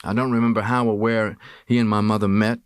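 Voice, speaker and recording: A man's voice: fairly low pitch, little pitch movement, a little fast, smooth, dry recording, studio quality. A man reading a first-person memoir as scripted audiobook narration. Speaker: audiobook reader.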